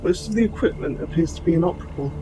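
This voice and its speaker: A man playing a gentle, protective robot soldier in an audio roleplay, speaking to the listener in formal, precise, measured wording. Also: fairly high pitch, noisy background, natural voice. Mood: calm, caring. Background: music.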